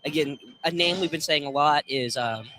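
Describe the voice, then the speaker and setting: A man giving conversational color commentary on a live basketball game, halting and rephrasing as he goes. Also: on the high side. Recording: slight background noise.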